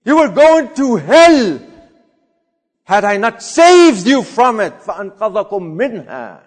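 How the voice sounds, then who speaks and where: A man delivering a Friday sermon, chanting Arabic verses quickly and then translating, swinging between loud urging and quiet reflection. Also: high-pitched, sing-song. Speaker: preacher giving a Friday sermon. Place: mosque prayer hall. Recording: long hall reverb.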